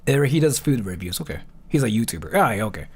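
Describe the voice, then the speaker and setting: A man's voice: mid-range voice, very animated, breathy edge. A man in his thirties chatting casually as a livestream host, rambling and often correcting himself mid-thought. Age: 30s. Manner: rambling, halting, self-correcting, peppered with filler. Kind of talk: casual livestream chat and reaction commentary.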